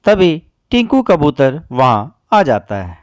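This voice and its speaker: A man's voice: on the high side, big pitch swings, takes it slowly, no echo, clean studio sound. A man narrating a children's moral story in a scripted storytelling style.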